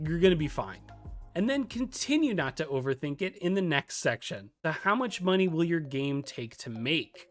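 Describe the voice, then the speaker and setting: A tutorial narrator with a mid-pitched, animated voice that moves freely up and down. The delivery is scripted yet conversational and lightly playful, with music playing behind it. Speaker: video tutorial presenter.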